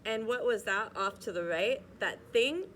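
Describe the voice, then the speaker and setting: A young woman reading a rhyming picture book aloud at a steady, rhythmic pace, now and then stumbling and restarting a word. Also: mid-range voice, normal speed, a little hiss or hum. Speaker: reader.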